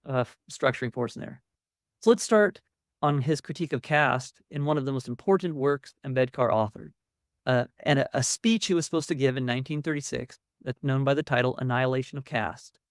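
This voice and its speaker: A male academic delivers a lecture in a mid-pitched voice. He explains ideas conversationally, stressing key words as he goes. The sound is dry, with very little room on it. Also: no room sound. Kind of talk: public academic lecture with slides.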